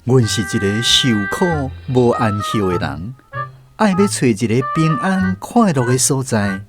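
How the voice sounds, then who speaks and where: Plaintive, lively-pitched weary wanderer. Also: male voice, unhurried, loud music behind the voice. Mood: hopeful. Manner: pleading, plaintive, earnest. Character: weak, weary lost man seeking peace and happiness. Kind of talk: Taiwanese radio drama acting.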